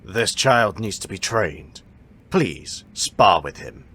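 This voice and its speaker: A man playing an elderly martial arts master in an audio drama, formal and measured, musing as he speaks. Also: fairly low pitch, animated, a bit bright, heavily acted. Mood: commanding.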